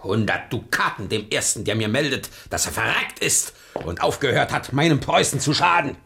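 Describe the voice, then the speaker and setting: A man's voice: medium pitch, lively intonation, moderate pace, vocal fry, background noise. An actor playing a tyrannical, choleric middle-aged Prussian king in a German radio play, with an imperious, blustering manner. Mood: scornful, threatening.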